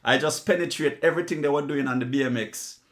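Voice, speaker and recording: A man's voice: a little high, fast talker. A middle-aged man with a Jamaican accent, hosting a casual chat and telling stories. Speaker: host.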